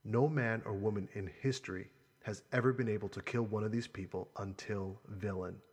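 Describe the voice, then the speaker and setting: In long reverb, a man acts out a grave guardian uncle from an audiobook. His voice is low and husky, and he speaks with solemn, earnest authority, protective of those in his care. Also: fairly even intonation, moderate pace, darkish tone. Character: grave, protective adult uncle, tender guardian revealing secrets. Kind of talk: audiobook character dialogue.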